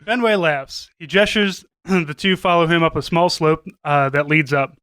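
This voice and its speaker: A male podcast narrator reading a comic script. His voice is high, bright and clean, with very little room sound around it. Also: moderate pace, clear, resonant tone, dry recording.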